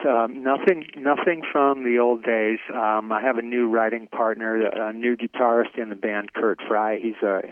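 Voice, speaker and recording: A man's voice: on the low side, phone-quality sound. A middle-aged man, a metal singer, answering interview questions in a hesitant way, with many fillers and restarts. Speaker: metal band vocalist. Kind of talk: interview, casual chat.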